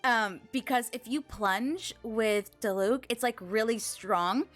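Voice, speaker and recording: Slow-paced, higher-voiced woman livestreamer. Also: a bit bright. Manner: gushing, thankful, girlish slang. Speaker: video game livestreamer. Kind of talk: casual chat with stream viewers. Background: game music.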